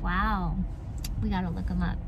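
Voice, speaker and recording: A woman's voice: lowish voice, steady tone, normal speed. A woman hosting a casual chat from inside a car, laughing often and asking lots of questions. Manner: chatty, laughs often, asks many questions. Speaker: vlogger host. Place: in a car on a city street. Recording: loud traffic noise inside a car.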